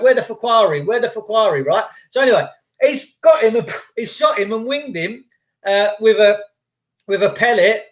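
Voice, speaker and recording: A man's voice: sits high, fairly bright tone. An Englishman hosting a livestream, rambling through conspiratorial tangents, swearing freely and answering chat. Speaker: livestream host reading chat. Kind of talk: rambling live chat monologue.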